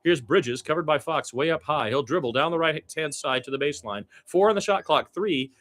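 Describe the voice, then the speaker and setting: A man doing basketball radio play-by-play, candid and colloquial, with casual partisan asides and an excitable streak on big plays. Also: on the high side, a little fast. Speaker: play-by-play commentator.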